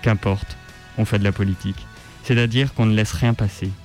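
A man reading a written text aloud on the radio in a measured, articulate, declamatory way. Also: lowish voice, steady tone, over music. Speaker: radio reader. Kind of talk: scripted literary reading aloud.

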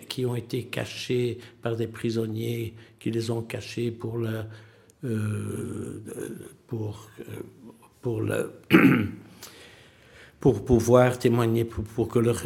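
An older man from Belgium answering interview questions, halting, with many pauses and restarts. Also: medium pitch, vocal fry, a little mellow. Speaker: museum president, witness.

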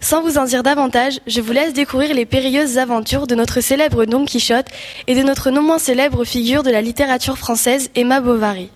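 High-voiced young woman presenting. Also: fairly even intonation, a little fast. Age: teenager. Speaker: student presenter. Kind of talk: scripted live introduction.

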